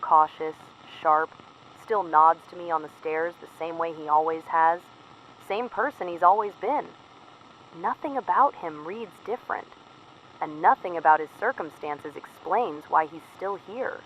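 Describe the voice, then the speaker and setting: A woman's voice: on the low side, a little slow, a bit bright. A woman playing a weary, fearful field operative in an audio drama, confiding a careful, plain-spoken secret report over the radio. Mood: hushed, puzzled. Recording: muffled noisy radio transmission.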